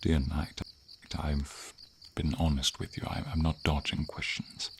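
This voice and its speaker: A man voicing a gentle, wise dragon in a fantasy ASMR roleplay, speaking formally in slow, measured phrases with thoughtful pauses. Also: heavily acted. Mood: encouraging, earnest. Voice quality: very deep, gravelly. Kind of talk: ASMR fantasy roleplay, scripted character acting. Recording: steady hiss of rain and insects behind. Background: light rain and night insects.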